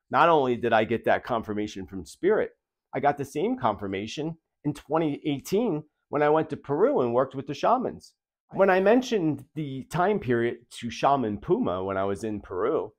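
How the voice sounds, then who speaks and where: A man hosting a video, speaking earnestly and emphatically straight to his viewers in commentary style. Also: medium pitch. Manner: earnest, emphatic, rhetorical questions. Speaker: host of a prophecy video channel.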